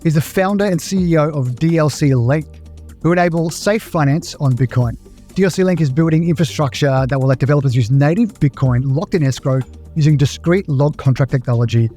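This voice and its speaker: Mellow-voiced podcast host man. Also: a little high, a little fast. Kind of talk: scripted show intro, then interview questions. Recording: faint music under the voice.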